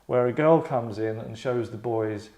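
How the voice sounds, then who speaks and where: A man's voice: medium pitch, measured pace, mellow, lo-fi sound. A man hosting a table read, explaining things casually to a group in a sardonic, rambling, mock-dramatic way. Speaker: host directing an actors' table read. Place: London.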